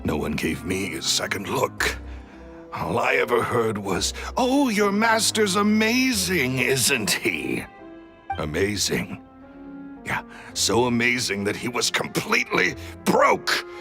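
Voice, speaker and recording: An actor playing a bitter, vain, greedy magician thief in a video game, theatrical and sneering. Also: male voice, mid-range voice, very animated, takes it slowly, pushed and bright, soft background music, full character voice. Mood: bitter, resentful.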